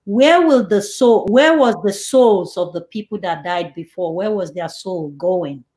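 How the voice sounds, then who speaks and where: A woman's voice: medium pitch, expressive, a little slow. A woman hosting an online church interview over a video call, reading out viewer questions and affirming answers. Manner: reads out viewer questions, warm laughs, affirming. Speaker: host.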